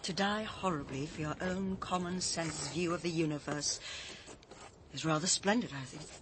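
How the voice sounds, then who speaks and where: An actress playing a reflective, principled former schoolteacher in a radio drama, speaking thoughtfully with an English accent. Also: female voice, low-pitched, moderate pace, natural voice. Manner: thoughtful, earnest, articulate. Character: reflective former schoolteacher, principled woman living in coastal retreat. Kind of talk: naturalistic radio drama acting. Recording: clear outdoor ambience noise behind the voice.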